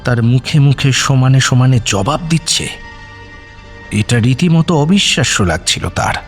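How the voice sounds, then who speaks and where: A man narrating a scripted radio story in an expressive, dramatic manner. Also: mid-range voice, moderate pace, soft background music.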